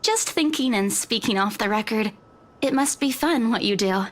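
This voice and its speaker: An actress playing a chatty, eager young estate agent in a horror visual novel, youthful and informal in manner. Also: female voice, fairly high pitch, expressive, moderate pace. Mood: curious, admiring.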